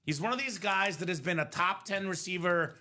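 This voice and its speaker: Man hosting a live card break, bright and a touch high, upbeat sales talk, voice slightly worn. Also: fairly quick. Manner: upbeat, salesy running commentary. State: voice a bit worn from singing. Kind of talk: live stream selling chat.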